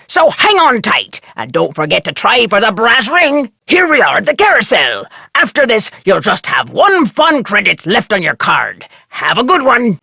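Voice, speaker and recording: A performer voicing a cheery, piratical carnival game announcer with a raspy voice and jaunty, theatrical showmanship. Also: medium pitch, bright, forceful tone, dry recording, studio quality, exaggerated voice. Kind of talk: scripted arcade game announcements.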